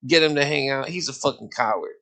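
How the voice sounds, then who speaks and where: A man's voice: mid-range voice, fast, a loud crowd. A man hosting a podcast, arguing in a combative, profane and blunt way, often cutting in over the other person. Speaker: podcast host. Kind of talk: heated argumentative livestream chat.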